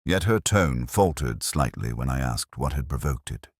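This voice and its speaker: A man narrating a scripted first-person detective story in a measured, brooding, literary manner. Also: extremely low pitch, expressive, husky, no room sound, studio quality.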